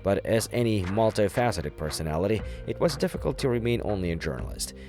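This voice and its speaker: A man's voice: fairly low pitch, sing-song, quick pace, darkish tone. A man reading scripted documentary narration and voice-over translation. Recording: music behind the voice. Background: background music.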